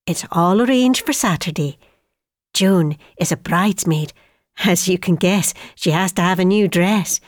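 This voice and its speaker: A woman's voice: lowish voice, dry recording, clean studio sound. A middle-aged woman playing an affectionate wartime mother, chattily reading out a letter to her son. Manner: homely, chatty.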